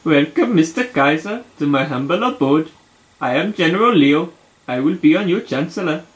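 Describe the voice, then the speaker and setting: A man's voice: on the high side, animated, normal speed, natural voice, rough recording. A man voicing a polite, deferential general puppet with a formal manner in a comic puppet show. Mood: eager. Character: polite, eager general puppet, a hesitant chancellor with a secret.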